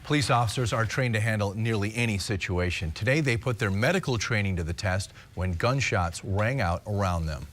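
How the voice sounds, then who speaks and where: A man's voice: lowish voice, a little fast, hardly any pauses, a bit bright, a little hiss or hum. An American man reading scripted stories as a television news anchor.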